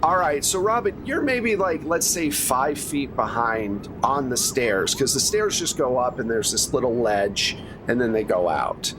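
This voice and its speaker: A man's voice: medium pitch, lively intonation, a little slow. A man speaking as game master, improvising narration in the second person and explaining dice rules in a conversational way. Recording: noisy recording with clear background noise.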